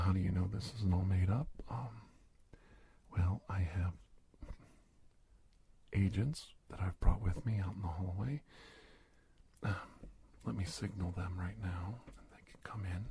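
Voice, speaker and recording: Man acting a low, hushed, hesitant secret-agent doctor. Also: vocal fry, breathy edge, heavily acted. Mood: reassuring, secretive. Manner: halting, hushed, gently coaxing. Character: earnest, hesitant male secret-agent doctor, confiding and reassuring. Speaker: ASMR roleplay performer. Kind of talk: soft-spoken ASMR roleplay.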